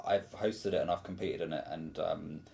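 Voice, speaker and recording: A man's voice: sits low, brisk, gravelly, warm tone, slight background noise. A man, a performance artist, chatting casually in an interview, rambling and self-deprecating as he tells his stories.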